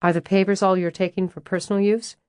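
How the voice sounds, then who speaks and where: A woman voicing a brisk, buttoned-up office manager who talks in crisp, dry, clipped lines. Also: medium pitch, little pitch movement, a little mellow, no room sound, lightly acted.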